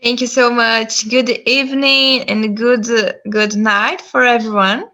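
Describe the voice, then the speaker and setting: A woman's voice: a little high, fairly even intonation, slow, pushed and bright. A woman speaking English with a Brazilian Portuguese accent, giving a testimony talk, hesitant with frequent "um" and "uh". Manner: hesitant, many "um" and "uh". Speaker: guest speaker.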